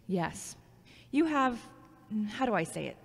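A woman's voice: on the high side, very animated, unhurried, creaky, exaggerated voice. An actress playing a frank, logical, critical yet caring former girlfriend in a scripted audio drama. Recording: long hall-like reverb.

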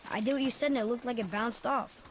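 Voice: medium pitch, quick pace, slight background noise, close to an everyday voice. A young performer playing an earnest, anxious boy, the son of a warrior, in a video game. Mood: puzzled.

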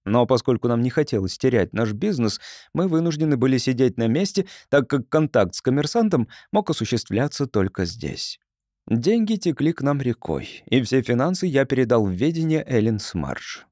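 A man narrating fiction as an audiobook reader, in an expressive storytelling style. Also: medium pitch, moderate pace, no room sound, clean studio sound.

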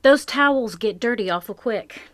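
An actress playing a farm wife who gives guarded, pointed replies in a live radio play, recorded at home over a video call. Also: female voice, on the high side, animated, normal speed, dry recording, lightly acted. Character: sharp, loyal farm wife defending a neighbor. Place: home closet or desk. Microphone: home mic over video call.

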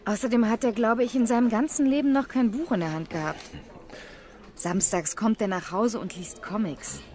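An actress playing a frank, outspoken young daughter in audio drama dialogue. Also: female voice, a little high, normal speed, darkish tone, lightly acted. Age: young adult.

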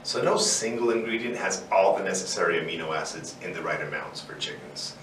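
A man's voice: low-pitched, lively intonation, fairly quick, fairly bright tone. A man in his forties, seated co-host, reading a scripted explainer in a plainspoken way, lifting his voice on key terms. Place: indoor studio set with barn-wood backdrop. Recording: roomy echo, distant mic, faint noise.

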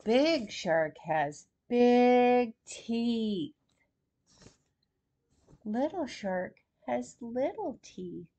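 A woman in her sixties reading a children's storybook aloud in a deliberate, expressive way for young listeners. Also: a little high, dull audio. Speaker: grandmother host reading picture books to children. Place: small plain room at home, seated in armchair.

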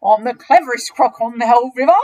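A woman voicing an enormous, greedy, boastful male crocodile in a children's storybook, with gloating, drawn-out emphasis. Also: on the high side, warm tone, lightly acted. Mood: boastful, scheming. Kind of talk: children's storybook character reading.

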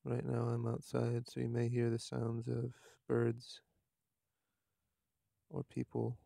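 A man leading a guided meditation outdoors, speaking softly and haltingly, with unhurried instructions and long pauses. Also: mid-range voice, completely flat delivery, gravelly, no echo, studio quality.

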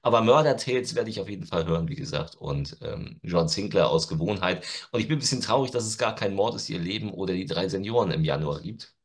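Low-voiced middle-aged man chatting. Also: animated, quick pace, few pauses. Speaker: co-host of an audio-drama news show. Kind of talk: casual chat. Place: room at home, indoors.

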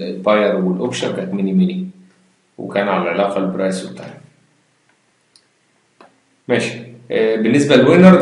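A man's voice: low-pitched, gravelly. A man with an Egyptian Arabic accent teaching a database lesson over a screen recording, explaining step by step, mixing in English terms and asking rhetorical questions. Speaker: computer science instructor. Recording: very echoey room.

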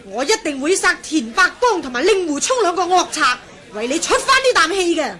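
An imperious nun master in a radio drama. The voice is high and lively, fierce and commanding, with a faint crowd behind. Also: female voice, brisk, exaggerated voice. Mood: impatient, vengeful. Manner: scornful, commanding. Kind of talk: Cantonese radio drama acting.

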